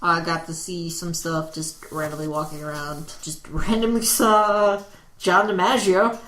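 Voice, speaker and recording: A man co-hosting a podcast, chatting casually and telling stories in a rambling, hesitant way full of pauses. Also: a little high, steady tone, pushed and bright. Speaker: podcast co-host. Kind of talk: casual chat. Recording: roomy echo, faint background noise.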